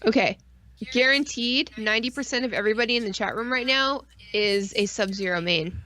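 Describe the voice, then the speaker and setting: A woman's voice: a little high, fairly quick, pushed and bright. A woman co-hosting a casual live-stream chat, rambling with geeky enthusiasm, slang and frequent swearing. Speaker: podcast co-host.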